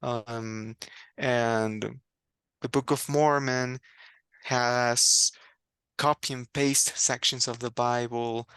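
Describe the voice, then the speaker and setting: Gravelly-voiced man. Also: mid-range voice, fairly bright tone, dry recording. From Mexico. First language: Spanish. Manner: reflective, candid, laughs often, restarts words. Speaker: guest. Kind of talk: personal faith story in conversation.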